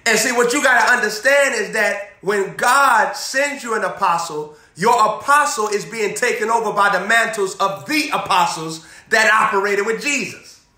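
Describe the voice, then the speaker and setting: High bright-voiced young preacher. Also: male voice, moderate pace, few pauses. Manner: animated, repetitive preaching with call-and-response questions, moving around. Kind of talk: energetic sermon. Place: indoor room in front of a banner backdrop. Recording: echoey room.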